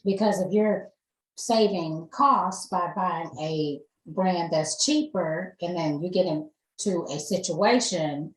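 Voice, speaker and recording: Low-voiced woman host, encouraging, lots of mm-hmms, echoey room. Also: slow, slight background noise. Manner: encouraging, short affirmations. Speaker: host, nurse advocate. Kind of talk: podcast interview.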